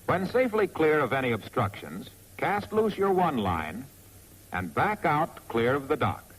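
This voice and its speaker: A man with an American accent narrates a 1940s instructional film in a wry, clearly phrased, scripted manner on a vintage soundtrack. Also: mid-range voice, sing-song, unhurried, rough recording. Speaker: narrator of a military training film.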